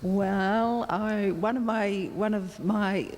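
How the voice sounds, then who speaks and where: A woman's voice: mid-range voice, steady tone, takes it slowly, darkish tone. An older woman with an Australian accent answering interview questions off the cuff, hesitating and repeating words as she finds her phrasing. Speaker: support group president and interviewee. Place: large indoor gallery. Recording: echoey hall with clear background noise.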